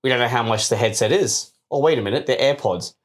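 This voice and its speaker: A podcast co-host in casual comedic banter, sarcastic and often rattling off the same word several times. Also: medium pitch. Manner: rapid repeated words, sarcastic. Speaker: co-host of a tech news podcast.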